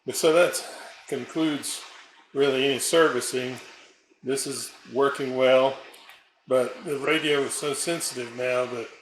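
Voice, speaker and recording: Male repair hobbyist, mid-pitched, halting and casual, thinking aloud in an echoey room with light background noise. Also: steady tone. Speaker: radio repair hobbyist and narrator. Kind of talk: casual hands-on repair demonstration talk. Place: indoors at a repair bench. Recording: roomy echo, background noise, small clicks.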